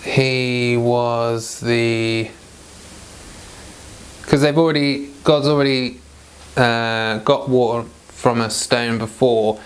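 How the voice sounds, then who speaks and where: A man with a clear voice, reading scripture aloud with informal asides. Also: mid-range voice, fairly even intonation, slow pace, slight background noise. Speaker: Bible reader and commentator.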